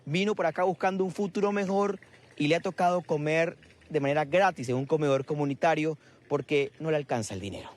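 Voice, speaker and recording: A man's voice: a little high, fairly quick. A male field reporter, reporting live outdoors at a border bridge and interviewing people.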